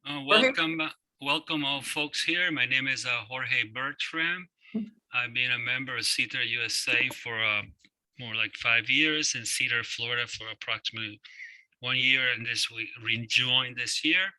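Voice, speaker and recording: Bright-voiced Cuban-born man, hesitant. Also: mid-range voice, normal speed, no echo, low-quality recording. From Cuba. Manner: hesitant, many fillers. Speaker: event chairperson. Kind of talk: introducing a speaker. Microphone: video call.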